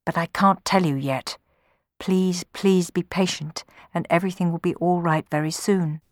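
A woman's voice: on the low side, fairly even intonation, normal speed, no echo, clean studio sound, lightly acted. A woman voicing an emotional young man in love from a Greek myth storybook, with a pleading, dramatic delivery. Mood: tender, restrained. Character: anguished devoted young male lover from Greek myth.

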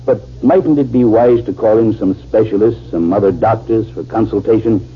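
An actor playing an earnest, measured army general in a 1950s radio drama. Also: male voice, on the low side, breathy edge. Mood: concerned. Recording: tinny, hissy old radio recording.

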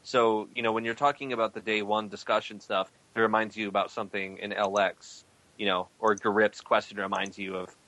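Bright-voiced male podcast host. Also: on the low side, flat delivery, brisk, dry recording, low-quality recording. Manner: loose, rambling, many fillers. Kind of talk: casual podcast chat.

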